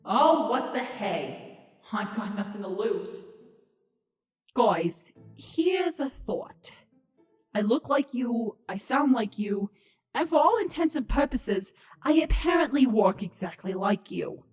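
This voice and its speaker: A woman's voice: fairly high pitch, lively intonation, takes it slowly, darkish tone, soft background music. A woman voicing a sarcastic, exasperated young female changeling, an insect-like pony creature, in an audio drama with a whiny, emphatic delivery. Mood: exasperated pleading. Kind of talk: audio drama fan reading.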